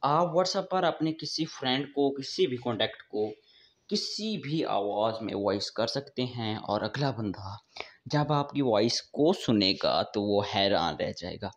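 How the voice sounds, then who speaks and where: A man's voice: medium pitch, expressive, a little slow. A man hosting a tech tips channel, narrating a casual step-by-step app tutorial to his viewers. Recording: dull, lo-fi voice-over recording.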